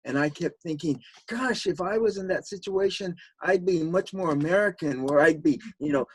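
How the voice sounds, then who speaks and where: A man's voice: sits high, moderate pace, husky, no echo. A man reflecting on a panel discussion, talking conversationally with frequent fillers. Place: video call.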